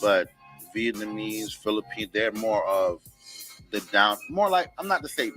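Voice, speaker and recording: Male radio co-host, bright forceful voice, loose profane chatter, faint music bed. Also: lowish voice, measured pace. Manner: loose, profane, rambling with restarts. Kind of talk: casual chat. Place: radio studio. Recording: radio broadcast with music behind.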